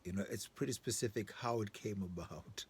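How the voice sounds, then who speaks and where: A man's voice: fairly low pitch, brisk. A man from southern Africa hosting an informal, opinionated monologue, speaking emphatically with repetition and frequent rhetorical check-in questions. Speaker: host. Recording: clear background ambient noise.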